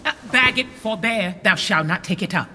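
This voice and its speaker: An actor playing a commanding, controlled lord in a Shakespearean radio drama, with measured authority. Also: male voice, sits high, expressive, pushed and bright, long reverb tail, heavily acted. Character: commanding, controlled male lord presiding over quarrels.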